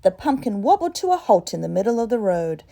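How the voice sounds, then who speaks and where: A woman's voice: mid-range voice, very animated, brisk, vocal fry, dark tone. A woman reading a children's storybook expressively. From Torres Strait, Australia. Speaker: storybook reader.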